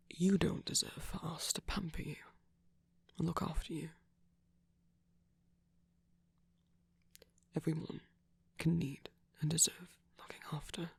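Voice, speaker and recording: Breathy whispering vampire boyfriend, voiced by a man. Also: a little high, dry recording, exaggerated voice. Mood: tender, gently chiding. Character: gentle, caring male vampire boyfriend, softly self-conscious. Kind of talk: whispered ASMR roleplay.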